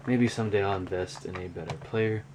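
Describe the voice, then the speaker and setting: A man talking casually and unscripted in a hobby show-and-tell vlog, with a rambling, halting delivery. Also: deep voice. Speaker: hobby vlogger, cassette collector. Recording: clear background noise.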